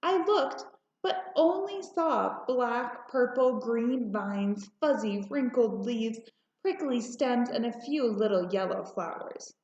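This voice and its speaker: Slow, high-voiced woman reading a storybook. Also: expressive, a little mellow. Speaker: storybook reader. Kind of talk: children's read-aloud narration. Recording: roomy, slightly muffled home recording.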